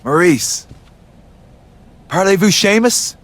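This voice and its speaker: A man's voice: high voice, expressive, takes it slowly, a bit bright, exaggerated voice. A man playing a vain, boastful wrestler who fancies himself a suitor, in a video game cutscene. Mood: smug, flirtatious. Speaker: wrestler character. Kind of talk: scripted game cutscene dialogue.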